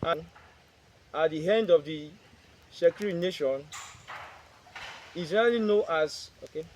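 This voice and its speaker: A man reading a prepared statement aloud at a press conference, in short, halting phrases and with the odd restart. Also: a little high, darkish tone, a little hiss or hum, rough recording.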